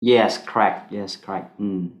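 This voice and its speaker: A man with a Singaporean accent, whose first language is Mandarin, teaching an online stock analysis class in halting English full of fillers. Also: fairly low pitch, steady tone, echoey room.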